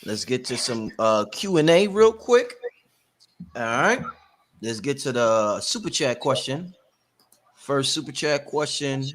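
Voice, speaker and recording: A man's voice: mid-range voice, lively intonation, a little slow, a bit bright, a little hiss or hum. A man hosting a live talk show, speaking casually and informally to his audience as family.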